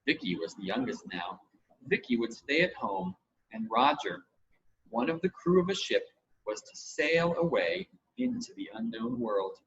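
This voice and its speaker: A man's voice: mid-range voice, big pitch swings, measured pace, fairly bright tone. A man narrating a children's storybook expressively, as an audiobook reader. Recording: muffled home recording with hiss.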